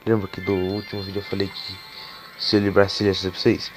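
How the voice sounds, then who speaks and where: Hoarse low-voiced man, with game music. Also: fast, poor audio. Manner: casual and swearing, excitable when cards are revealed. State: hoarse from a cold. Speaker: gaming YouTuber. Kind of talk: casual gameplay commentary. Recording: game music playing behind the voice.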